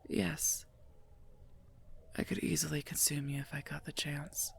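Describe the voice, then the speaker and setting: A woman voicing a sardonic female werewolf knight and mercenary bodyguard in an ASMR roleplay, husky and gravelly, dry and curt. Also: sits low, steady tone, measured pace, exaggerated voice. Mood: mocking, disdainful. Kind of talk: scripted ASMR roleplay, addressed to listener.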